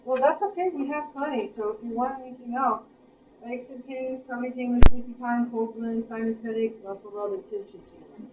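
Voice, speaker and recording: A woman with an Appalachian American accent chats with a small workshop group, listing blends and teasing friends. The sound is distant and roomy, with a muffled, dull tone. The pitch sits at a middle level. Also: fairly even intonation, fairly quick, noisy background. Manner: friendly, chatty, lists items off. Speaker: workshop leader handing out essential oil blends. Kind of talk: casual small-group chat. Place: meeting room, small group.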